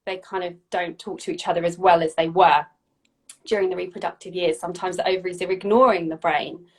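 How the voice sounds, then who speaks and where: A woman's voice: on the low side, steady tone, a little fast. A woman in her forties, a health practitioner, explaining things in a casual chat, often restarting and repeating words. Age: 40s. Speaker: functional medicine practitioner.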